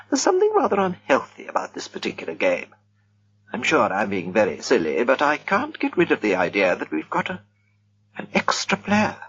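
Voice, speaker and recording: A man voicing a nervous, genteel young woman at a party, talking softly and anxiously. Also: on the high side, husky, highs cut off, voice pushed up, heavily acted.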